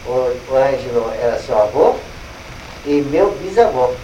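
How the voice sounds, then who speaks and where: A man, carefully working through names and family ties in a relaxed family chat. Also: mid-range voice, unhurried. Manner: lists names, corrects details of kinship. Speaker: relative tracing family tree. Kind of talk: casual family conversation. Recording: echoey room with air conditioning noise.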